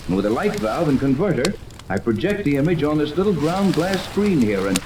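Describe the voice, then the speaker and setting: An actor playing a confident, commanding yacht captain and earnest inventor in a 1930s radio serial. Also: male voice, a little high, very animated, fairly quick. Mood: proud, explaining. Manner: commanding, explanatory. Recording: noisy, echoey 1930s radio recording.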